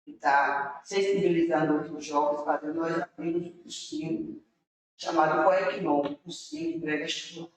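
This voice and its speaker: A woman's voice: deep voice, expressive, moderate pace. Woman guest, earnest rambling storyteller, far off in a very echoey room. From interior of Bahia, Brazil. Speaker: city councilor guest. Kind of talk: casual storytelling to a young audience.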